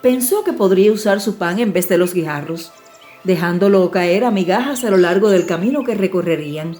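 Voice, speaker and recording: A woman narrates a fairy tale as an audiobook storyteller. Her voice is mellow and mid-pitched, expressive yet measured. Faint music plays underneath.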